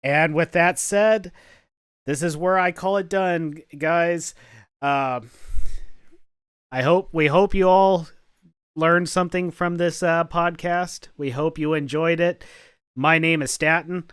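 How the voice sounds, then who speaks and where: Man, deadpan podcast host, halting delivery, smooth clear voice, dry close sound. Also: fairly high pitch, no room sound. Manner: halting, stumbles over words. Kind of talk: casual podcast chat.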